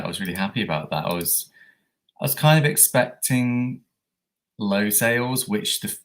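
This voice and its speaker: A man with a croaky voice from a coming cold, chatting casually with many fillers as he hosts a reselling livestream. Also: low-pitched, fairly bright tone. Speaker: eBay reseller livestream host.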